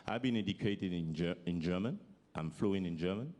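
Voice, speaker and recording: A man with a French accent, giving a halting public speech and often restarting his sentences as he searches for words in English. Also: low voice, a little mellow. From French-speaking African country. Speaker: forum speaker.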